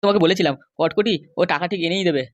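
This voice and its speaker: A man's voice: high voice, very fast, no echo, lo-fi sound, natural voice. A man voicing a henpecked, pleading middle-aged cartoon husband with a West Bengal accent. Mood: earnest. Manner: wheedling, grumbling. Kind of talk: comic cartoon dialogue.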